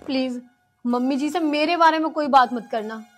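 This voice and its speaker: A woman plays a patient young daughter-in-law in a family drama skit, pleading softly to keep the peace. Her voice sits high, with faint music behind. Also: normal speed, natural voice. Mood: gentle. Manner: pleading, soft-spoken.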